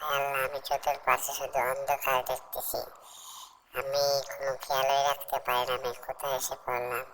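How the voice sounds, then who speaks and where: A woman voicing a small, excitable, greedy female tailorbird in a children's cartoon, exclaiming to herself in a childlike way. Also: a bass voice, brisk, slight background noise, low-quality recording. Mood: frightened, pleading. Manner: exclaiming, wonder-struck, self-talking.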